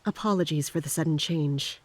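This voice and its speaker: A woman playing a guarded, troubled military captain in an audio drama, speaking and narrating in a restrained, formal, deliberate way. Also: sits low, darkish tone, a little hiss or hum, close to an everyday voice. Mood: stiffly deferential. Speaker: lead actor and first-person narrator. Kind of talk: audio drama acting with inner monologue.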